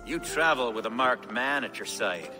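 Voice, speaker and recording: A man's voice: mid-range voice, lively intonation, normal speed, natural voice. An actor playing a boorish, sarcastic Saxon nobleman with a sneering manner in a video game. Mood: scornful. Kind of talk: video game cutscene acting. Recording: loud music behind the voice.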